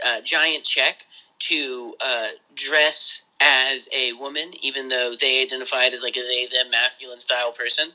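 A man, hosting a podcast, talking casually over a phone call in a rambling, excited way with some swearing. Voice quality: high voice. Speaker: podcast host.

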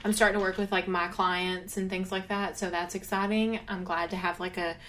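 A woman's voice: on the low side, level tone, brisk, a bit bright, slight background noise. A young woman co-hosting a casual chat podcast who laughs often and fills her talk with "um" and "like". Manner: giggly, lots of fillers like "um" and "like". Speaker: podcast co-host.

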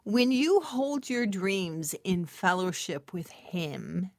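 Slow-paced woman giving a devotional talk. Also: medium pitch, a little mellow, no room sound, studio quality. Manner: emphatic and testimonial, repeating phrases for weight; intimate, chuckling asides to the listener. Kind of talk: devotional talk addressed to listeners.

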